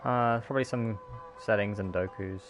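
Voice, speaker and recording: A man with a low voice chats as a game streamer. His talk is casual, slangy and laid-back, with game music behind it. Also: steady tone, moderate pace, poor audio.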